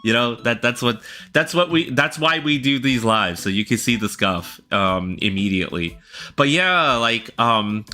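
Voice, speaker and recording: A man's voice: medium pitch, expressive, a little slow, bright, forceful tone. A man chatting casually on a livestream, rambling and checking in with viewers as he talks. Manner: rambling, talks directly to viewers with frequent "right?". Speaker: livestreamer and illustrator. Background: faint background music.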